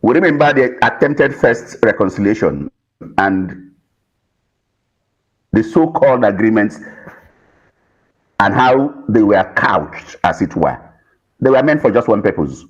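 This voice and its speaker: A man with a Nigerian accent giving political commentary as a panel guest, emphatic and deliberate, repeating phrases for weight, on muffled audio. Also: on the low side, lively intonation, slightly rough.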